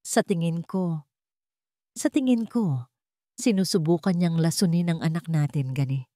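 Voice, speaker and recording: A woman voicing a manipulative schemer who feigns tearful fear, pleading with pauses and repeats in a story. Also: lowish voice, warm tone, no room sound, clean studio sound, lightly acted. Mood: poisonous whispering.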